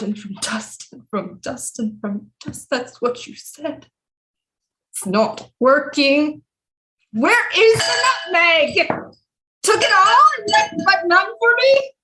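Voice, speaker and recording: Actress playing imperious queen, lovelorn, slow measured delivery, grandiose period diction, audio drama. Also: high-pitched, pushed and bright, heavily acted, poor audio. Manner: haughty, grandiose, archaic formal diction. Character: imperious, lovelorn French queen conjuring a lost seer.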